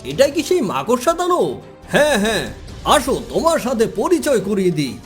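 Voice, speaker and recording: Woman playing a very animated, blustering cartoon monster. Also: fairly high pitch, moderate pace, soft background music. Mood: surprised, chummy. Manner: exclaiming, grumbling, indignant. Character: huge cartoon monsters, blustering, aggrieved and easily outraged. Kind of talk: cartoon dialogue.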